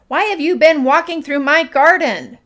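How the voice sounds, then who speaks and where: A woman voicing a small, fierce, boastful male mouse in a children's storybook, loud and emphatic. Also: high-pitched, sing-song, a little slow, exaggerated voice. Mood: fierce, scolding. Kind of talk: children's storybook read-aloud.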